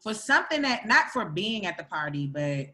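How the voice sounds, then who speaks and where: A woman born in Greece chatting casually on a podcast, animated, laughing often and swearing freely. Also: mid-range voice, moderate pace.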